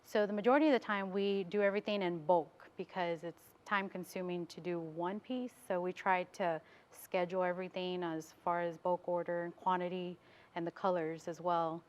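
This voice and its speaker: Slightly dull audio carries an American woman with a lowish, very even voice. She explains her work casually, as a guest in a studio interview. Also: moderate pace. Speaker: interview guest, business owner.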